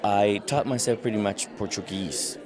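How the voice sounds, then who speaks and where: A man's voice: on the low side, steady tone, normal speed. A man whose first language is Spanish, telling his story in a casual interview at a conference and acting out the voices of people he quotes. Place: busy conference hall. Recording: conference crowd chatter behind.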